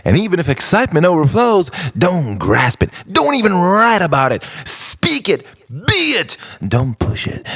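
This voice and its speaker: A man delivers introspective spoken-word poetry as a monologue, in a dramatic, deliberate way with pauses between phrases. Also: fairly high pitch, fairly bright tone. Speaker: poet.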